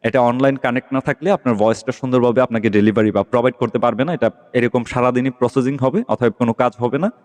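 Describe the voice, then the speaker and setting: A man's voice: mid-range voice, fast talker, hardly any pauses, darkish tone. A man hosts a casual tech tutorial in an ordinary mid-range voice. He explains patiently and keeps checking that the listener is following. His words carry a long, reverberant tail. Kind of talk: casual app tutorial voice-over.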